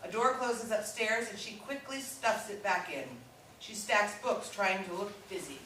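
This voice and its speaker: A woman's voice: medium pitch, a little slow, sharp tone. A woman reading stage directions as scripted narration at a live play reading. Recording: echoey room from far off, faint noise.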